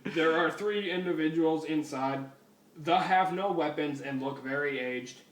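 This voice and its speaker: A man voicing a stilted, analytical cyborg policeman with a monotone, mechanical delivery in a fan fiction reading. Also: fairly high pitch, a little slow, natural voice. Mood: flat, matter-of-fact. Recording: echoey room, distant noisy mic.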